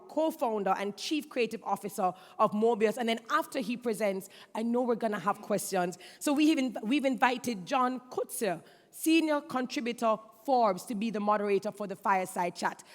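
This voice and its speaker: A woman's voice: a little high, lively intonation, normal speed. A woman hosting an event, formally introducing a speaker from the stage. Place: conference stage in a large hall. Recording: echoey hall through a stage PA.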